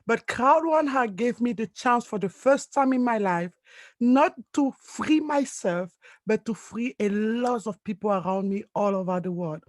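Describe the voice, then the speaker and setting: A woman's voice: fairly high pitch, measured pace, darkish tone, no echo. A woman from Cameroon giving an emphatic, earnest motivational speech over a video call as a network marketing leader. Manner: earnest, emphatic, non-native phrasing.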